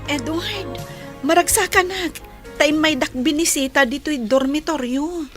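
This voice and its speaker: A woman with an Ilocano accent acting a gentle village family role in a radio drama. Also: high-pitched, a little slow, over music. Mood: grateful.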